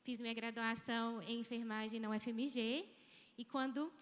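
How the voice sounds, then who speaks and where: A woman's voice: a little high, steady tone, normal speed. A woman in her thirties from Minas Gerais with a Mineiro accent, giving a chatty, informal conference keynote on a handheld mic in a large auditorium. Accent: Mineiro Brazilian Portuguese. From Brazil, Minas Gerais. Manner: chatty, informal, with frequent tag questions. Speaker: guest speaker, nursing professor. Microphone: handheld microphone. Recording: hall reverb.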